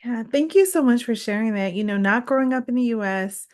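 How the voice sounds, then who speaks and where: A woman's voice: on the high side, brisk, darkish tone. A woman hosting an interview show as a psychologist and exam coach, speaking in a conversational interview style.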